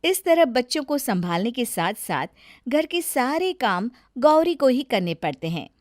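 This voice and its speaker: Expressive, higher-pitched woman storyteller. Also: unhurried, no room sound, clean studio sound. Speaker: storyteller, narrator. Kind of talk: scripted moral-story narration.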